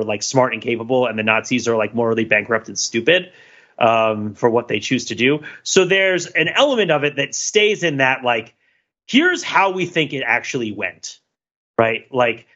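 A man's voice: medium pitch, sharp tone. A man co-hosting a podcast, thinking aloud in a casual analytical chat, full of fillers and restarts. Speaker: podcast co-host. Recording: dull lo-fi podcast audio.

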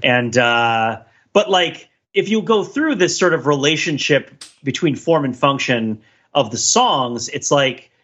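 A man with a bright, forceful voice talks through ideas as a podcast co-host. He is casual but analytical, wandering into asides and hedges as he goes. The audio is slightly dull and band-limited. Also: medium pitch, expressive, measured pace, breathy edge. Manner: discursive, thinking aloud, hedging with many asides. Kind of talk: casual panel discussion, analytical.

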